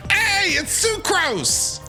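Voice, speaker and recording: A woman's voice: extremely high pitch, sing-song, extremely slow, bright, forceful tone. A woman giving casual, playful, exclamatory gameplay commentary as a streamer. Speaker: game streamer. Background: game music.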